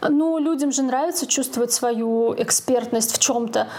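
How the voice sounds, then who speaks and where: A woman's voice: fairly high pitch, normal speed, warm tone, slight background noise. A woman co-hosting a casual podcast discussion, speaking in a blunt, opinionated, emphatic way and swearing freely. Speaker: podcast co-host.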